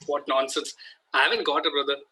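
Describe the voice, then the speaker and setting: A man playing a sharp, high, husky Victorian gentleman. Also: flat delivery, fairly quick, rough recording. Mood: exasperated. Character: evasive, easily exasperated Victorian country gentleman. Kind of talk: amateur play table read.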